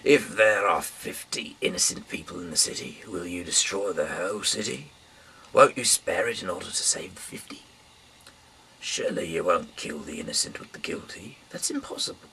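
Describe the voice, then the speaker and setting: A man reading scripture aloud informally in a halting way, repeating and stumbling over words, with a raspy, breathy voice that often trails off. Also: sits low, lively intonation, pushed and bright, slight background noise, rough recording. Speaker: amateur Bible reader.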